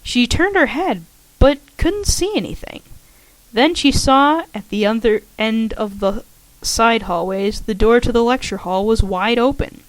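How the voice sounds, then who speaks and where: A woman's voice: fairly high pitch, no room sound, rough recording. A woman carefully reading a story aloud, slightly shaky in her delivery. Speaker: fanfiction audiobook reader.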